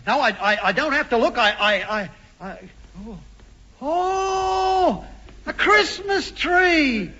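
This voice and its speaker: An actor playing a childlike, excitable Australian man who stammers and repeats himself in a 1950s radio comedy. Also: very high-pitched, slow pace, exaggerated voice. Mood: hesitant wonder. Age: 30s. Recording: dull old radio recording.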